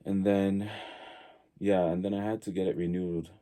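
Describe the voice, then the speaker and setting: A man in his early forties from Tonga, talking casually and reflectively as a podcast guest, halting as he thinks aloud. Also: low voice, flat delivery, mellow. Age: early 40s.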